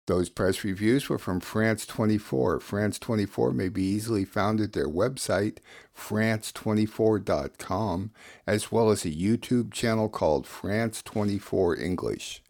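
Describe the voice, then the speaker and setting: A male radio host with a fairly low voice and a heavily creaky, gravelly edge. He reads scripted introductions and news summaries as the presenter of the show. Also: a little slow, darkish tone.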